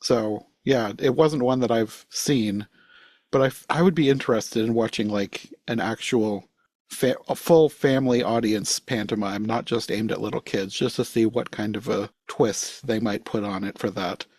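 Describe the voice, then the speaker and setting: A man's voice: lowish voice, fairly even intonation, normal speed, a little mellow, no room sound. A man hosting a podcast in a casual, conversational style. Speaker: podcast host.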